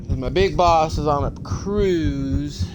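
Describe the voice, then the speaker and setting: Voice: medium pitch, slow, noisy background. A streamer chatting casually and playfully while gaming, rambling and swearing freely. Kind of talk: casual live-stream chat. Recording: loud game music behind voice. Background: game music.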